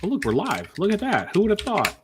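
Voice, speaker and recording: A man's voice: high-pitched, huge pitch swings, noisy background. A man hosting a casual podcast live stream, joking and teasing his co-hosts. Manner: jokey, quick laughs, teasing. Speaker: podcast host.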